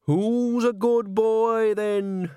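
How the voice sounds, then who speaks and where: A man's voice: sits high, steady tone, very slow, dark tone, clear, resonant tone, no echo, studio quality, voice pushed up. A man voicing a doting, cheeky old countrywoman in a storybook, with a cooing, folksy manner.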